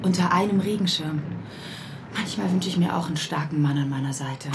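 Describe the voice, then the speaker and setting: A woman acting a sharp, sardonic police detective in a German radio drama, pointed and insistent. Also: fairly low pitch, brisk, background noise, close to an everyday voice.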